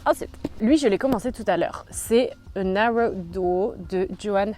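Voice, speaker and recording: A woman's voice: medium pitch, unhurried, darkish tone, faint music behind. A young woman chatting casually in a book vlog, rambling with many fillers. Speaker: book YouTuber.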